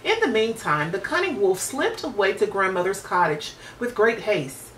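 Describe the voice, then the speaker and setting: Animated woman reading a children's story. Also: medium pitch, moderate pace. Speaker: storybook reader. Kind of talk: expressive children's story narration. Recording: roomy, distant mic with faint noise.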